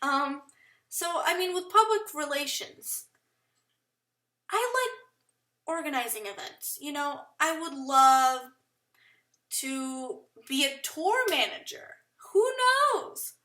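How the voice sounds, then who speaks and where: A woman's voice: sits high, animated, slow, pauses often, sharp tone, roomy sound, recorded from a distance. A young woman from Portugal rambling self-consciously to the camera in a casual vlog, laughing often as she thinks aloud. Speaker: vlogger.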